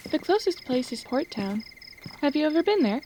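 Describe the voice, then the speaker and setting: A woman playing a friendly, teasing young ranch girl in a fantasy audio drama, chatty and expressive. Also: high voice, normal speed, slight background noise, low-quality recording. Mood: wistful, chatty.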